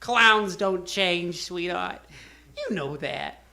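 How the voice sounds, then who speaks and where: Radio play clown: high, bright voice, flowery and mischievous, theatrical delivery. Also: male voice, expressive, creaky, heavily acted. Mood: wistful, courtly. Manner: slow, theatrical, flowery phrasing. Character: tall sad-eyed male circus clown, courtly and teasing. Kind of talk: live radio drama acting. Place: theater stage.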